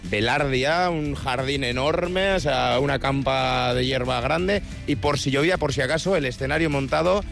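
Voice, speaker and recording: A man with a Spanish accent from Spain reporting live in a casual, halting way, often repeating himself. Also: mid-range voice, vocal fry, fairly bright tone, faint music behind. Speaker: radio reporter on location. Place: outdoors at a festival site before it starts.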